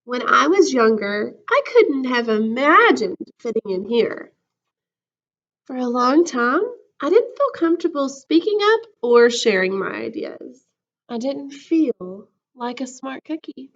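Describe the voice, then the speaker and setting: A woman voicing a young, earnest, self-doubting cookie who tells a storybook tale in a friendly, expressive way. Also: sits high, a little slow, muffled recording, heavily acted. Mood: wistful.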